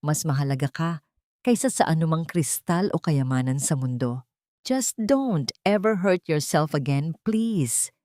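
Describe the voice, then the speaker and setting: A woman narrator plays a caring older brother in a story drama. He is protective and earnest, with sorrow under his words. The voice is low and dark, in a dry recording. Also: lively intonation, measured pace, no room sound. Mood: gentle sorrow.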